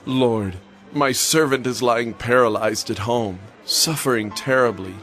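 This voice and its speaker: A man's voice: mid-range voice, measured pace, a bit bright, soft background music, natural voice. A man playing a humble, earnest Roman centurion in an audio Bible drama. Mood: distressed, appealing.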